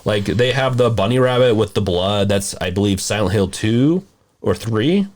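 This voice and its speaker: A man hosting a casual movie discussion podcast, talking in a halting, rambling way and often rephrasing mid-sentence. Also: lowish voice, expressive, darkish tone. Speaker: podcast host.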